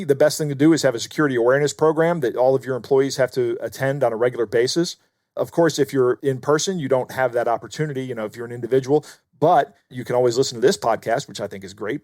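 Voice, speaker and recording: A man's voice: mid-range voice, darkish tone, no echo. Conversational male podcast co-host, dry close sound. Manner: informal, instructive. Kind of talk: conversational explanation.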